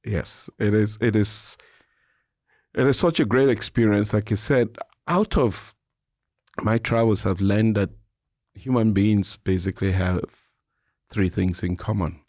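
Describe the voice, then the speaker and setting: A middle-aged man with a Cameroonian English accent, speaking as an interview guest in a measured, reflective, explanatory way. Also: on the low side, a little mellow, dry recording, clean studio sound. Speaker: interview guest, nonprofit president and CEO. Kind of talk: reflective conversational interview answers.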